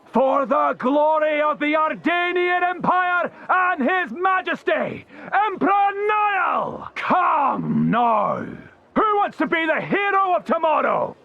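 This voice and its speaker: A man's voice: very high voice, animated, slow pace, vocal fry, shouting, dull audio, exaggerated voice. An actor playing a pompous, theatrical army recruiter, declaiming like a showman in a video game. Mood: rousing. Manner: bombastic, declaiming, showy. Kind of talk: video game cutscene acting, public oration. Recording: music behind the voice.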